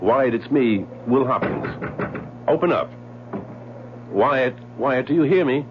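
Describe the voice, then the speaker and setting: An actor playing an earnest, loyal gentleman husband in a radio drama, often stammering as he talks. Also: mid-range voice, expressive, slow. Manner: earnest, flustered, stammering restarts. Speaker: husband investigating a mystery. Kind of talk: 1970s radio drama acting. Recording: muffled, hissy old radio broadcast.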